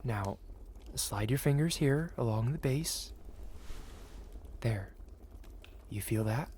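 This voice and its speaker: A man's voice: medium pitch, lively intonation, slow pace, darkish tone, slight background noise. A man playing a possessive, wealthy dragon merchant who speaks in formal, flowery, courteous phrases in an intimate fantasy ASMR roleplay. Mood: playful, intimate. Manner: formal, flowery, courteous speech addressed to one listener.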